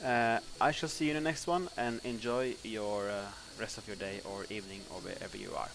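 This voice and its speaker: A young man with a Norwegian accent casually commenting on his flight-sim gameplay, relaxed and rambling with lots of fillers. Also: on the low side, a little fast, creaky. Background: music playing underneath.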